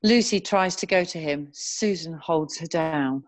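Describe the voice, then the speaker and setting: A woman narrating a screenplay, reading scene headings and stage directions in a measured way. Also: low-pitched, no echo.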